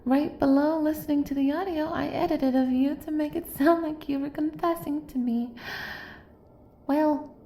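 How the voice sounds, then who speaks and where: A woman's voice: high voice, fairly even intonation, moderate pace, mellow, echoey room. A woman playing an obsessive, doting young female kidnapper in an intimate roleplay audio, speaking straight to the listener in a cutesy, gushing, coaxing way. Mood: proud, adoring.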